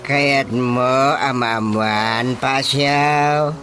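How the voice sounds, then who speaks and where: A man's voice: mid-range voice, steady tone, extremely slow, bright, forceful tone, soft background music. A man acting a stern village chairman in a radio drama, scolding and emphatic. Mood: scolding, indignant.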